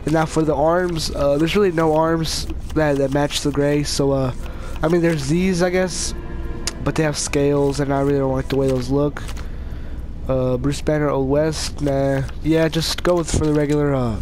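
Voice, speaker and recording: A man's voice: on the high side, darkish tone, slight background noise. A man with a cold and a slight cough, casually narrating a video game character build in a rambling way, full of fillers and restarts. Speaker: YouTube gaming channel host. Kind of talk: casual gameplay commentary.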